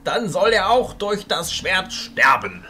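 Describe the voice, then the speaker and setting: A man's voice: big pitch swings, takes it slowly, faint music behind, heavily acted. A man voicing a commanding, bearded old wizard archchancellor in a fantasy audio drama, speaking in an emphatic, declamatory way. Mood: stern, defiant. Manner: declamatory, emphatic, swinging between hushed and booming.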